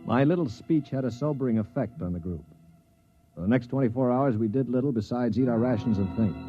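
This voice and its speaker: An actor playing a blunt, cynical Earthman prisoner who narrates a 1950s science fiction radio play in a sardonic, forceful manner. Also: mid-range voice, a little fast, music in the background, close to an everyday voice. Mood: grim narration. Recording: muffled 1950s radio recording.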